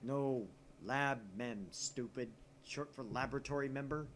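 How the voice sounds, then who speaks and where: A man's voice: mid-range voice, measured pace, a little hiss or hum, close to an everyday voice. A man voicing an arrogant, bossy young male mad scientist from a visual novel, with pompous, dramatic declarations. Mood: commanding. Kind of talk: visual novel character reading.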